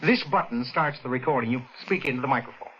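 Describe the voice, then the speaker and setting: A man's voice: mid-range voice, animated, quick pace, natural voice. An actor playing a hesitant male record shop clerk in a 1940s radio drama. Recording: muffled old radio recording with loud noise.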